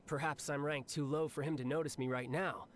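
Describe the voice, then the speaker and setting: A cocky young fighting man from a video game, played by a male actor, with a fairly high voice. He talks breezily and confidently, as if chatting, and relishes a fight. There is clear noise behind him. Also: steady tone, fairly quick, close to an everyday voice. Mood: confident, musing. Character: cocky, battle-hungry, cheerful young male warrior officer. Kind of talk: scripted video game character monologue.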